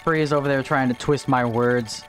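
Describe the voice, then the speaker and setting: A man streaming a game live from home, chatting casually, answering viewers and thinking out loud about his strategy. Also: medium pitch, fairly even intonation, normal speed, soft background music. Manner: chatty, answers viewer chat while playing, thinks aloud. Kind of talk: casual livestream gameplay chat. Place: home, in Texas.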